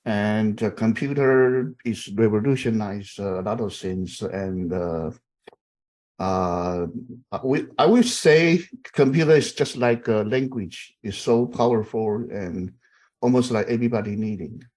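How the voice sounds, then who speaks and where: A man's voice: on the low side, a little slow, a little mellow. A middle-aged man from Taiwan, teaching a university class over a video call with many fillers and repeated okays. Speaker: university instructor. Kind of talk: online class, informal chatting.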